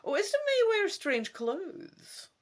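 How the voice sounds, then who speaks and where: Woman voicing a slow, mysterious old lady bookseller. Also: very high-pitched, sing-song, pitched above the performer's own voice, full character voice. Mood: wise, cryptic. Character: kindly elderly woman bookseller, mysterious, unhurried, shrewd. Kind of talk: dramatic gamebook reading.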